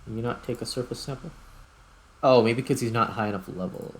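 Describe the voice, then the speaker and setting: A man's voice: mid-range voice, brisk, poor audio. A man giving casual video game commentary as host, thinking aloud in short fragments. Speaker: gaming YouTuber, host. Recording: clear background noise.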